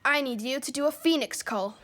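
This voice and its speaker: A man's voice: extremely high pitch, a little fast, bright, forceful tone, slight background noise. An actor playing an earnest young boy, a confident explainer who loves mythology, in an audio adventure drama. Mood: eager, focused.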